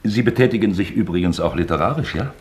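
An actor playing a sly, falsely genial investigator in a German radio play, mock-forgetful and probing with creaky politeness. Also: male voice, low voice, expressive, fast, a little mellow. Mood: feigned geniality, probing.

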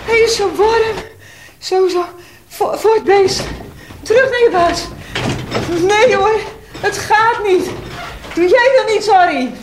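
A woman's voice: very high-pitched, slow pace, pauses often. A woman playing a fretful, exclaiming mayor's wife in a Dutch radio play, anxious and pleading. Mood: frightened, flustered. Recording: echoey room, clicky noisy radio recording.

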